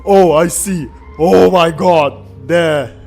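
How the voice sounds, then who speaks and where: A man's voice: fairly high pitch, fairly even intonation, extremely slow, gravelly, a little mellow, soft background music. A man streaming a casual reaction, thinking aloud with lots of fillers and restarts. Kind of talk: casual chat.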